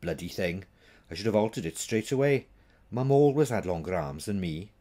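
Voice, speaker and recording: Man reading as a brisk, wry witch woman. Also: low voice, lively intonation, dark tone. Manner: blunt, wry, no-nonsense. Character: brisk, practical, teasing witch woman, sharp-tongued friend.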